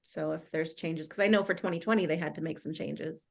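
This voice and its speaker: Woman chatting in a group, lots of vocal fry, slightly low voice, kinda tentative. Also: brisk. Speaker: discussion participant. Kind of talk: casual group discussion.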